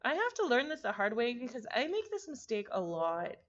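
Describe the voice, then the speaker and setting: A woman streamer reading a visual novel aloud, mixing expressive narration with casual asides. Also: a little high, a little fast, muffled recording.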